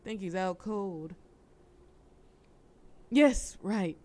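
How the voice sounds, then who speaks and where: A woman's voice: medium pitch, drawn-out words, a little mellow. A woman playing a sly, flirtatious mafia boss in an audio roleplay, with a wry, teasing, formal way of talking. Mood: urgent, flustered. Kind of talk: scripted audio roleplay acting.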